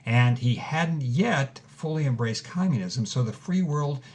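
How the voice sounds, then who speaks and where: A man's voice: medium pitch, lively intonation, measured pace, darkish tone. An actor playing an earnest, theatrical male host who presents and interviews in a scripted audio drama podcast. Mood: earnest, explanatory.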